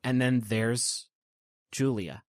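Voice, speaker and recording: A man narrating a tabletop game as game master, in gentle, descriptive storytelling. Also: mid-range voice, slow pace. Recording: prominent piano music underneath.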